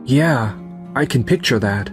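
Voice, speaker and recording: A man's voice: mid-range voice, lively intonation, unhurried, over music, natural voice. A man voicing a kind, sincere young businessman in a romantic comedy manga dub.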